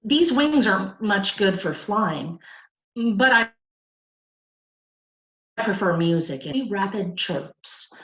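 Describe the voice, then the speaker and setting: A woman's voice: mid-range voice, lively intonation, slow, long pauses, a bit bright, reverberant, lo-fi sound. A woman voicing a timid, earnest young male cricket from the country in a storybook reading, with a hesitant manner. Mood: earnest, explaining.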